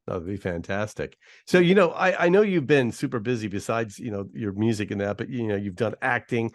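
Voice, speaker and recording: A man's voice: fairly low pitch, animated, brisk. A man who hosts a radio station's interview, asking chatty questions with some hesitation. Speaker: radio host. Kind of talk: casual interview over video call.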